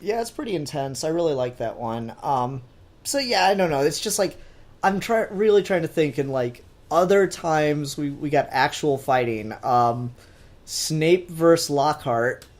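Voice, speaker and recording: A man's voice: a little high, expressive, unhurried. Informal man hosting a geeky podcast. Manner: informal, mildly sweary geeky enthusiasm. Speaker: podcast host. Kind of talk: casual pop-culture chat.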